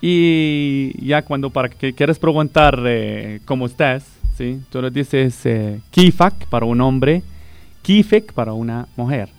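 A man's voice: medium pitch, slow, smooth, soft background music. A man from Palestine whose first language is Arabic, a teacher and radio guest who explains greetings patiently and repeats each word for emphasis. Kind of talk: radio interview, teaching words.

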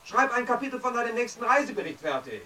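Loyal friend, patient, concerned, calming, radio play dialogue. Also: male voice, very high-pitched, quick pace, distant mic, full character voice. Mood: reassuring. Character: loyal, reassuring married man, best friend of a troubled caller.

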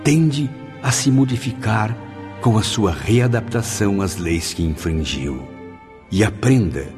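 Espírito solene, voz masculina grave, brasileiro. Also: male voice, on the low side, animated, slow, over music. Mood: sorrowful, solemn. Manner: florid, solemn, declamatory. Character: Camilo, grateful, solemn male spirit recalling his past. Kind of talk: radio drama acting.

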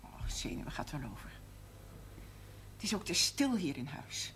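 A woman's voice: low-pitched, big pitch swings, normal speed, a bit bright. An actress playing a worried, weary middle-aged farmer's widow and mother in a television period drama, plain-spoken and stubborn. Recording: faint noise, old television soundtrack.

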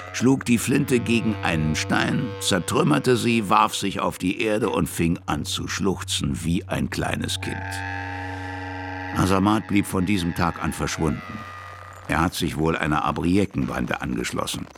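Older officer narrator, low gravelly voice, animated swings, plainspoken storyteller, radio play, music underneath. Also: male voice.

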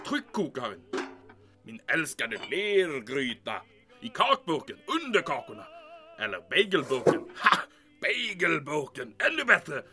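Fretful, animated, secretive official. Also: male voice, fairly high pitch. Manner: neurotic, talks to himself, exclaims. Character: fretful, secretive male official muttering paranoid monologue.

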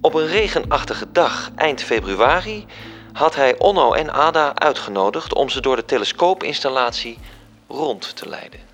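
An actor playing a measured, wry storyteller, delivering scripted literary narration in a radio drama. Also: male voice, mid-range voice, expressive, fairly bright tone, natural voice. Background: music.

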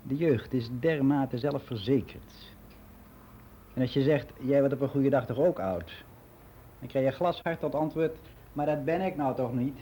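Mellow-voiced, candid older man, played by an actor. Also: mid-range voice, a little hiss or hum, lightly acted. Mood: bitter, indignant. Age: 60s. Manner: frank, reflective, halting with self-corrections. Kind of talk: confessional monologue in a radio play.

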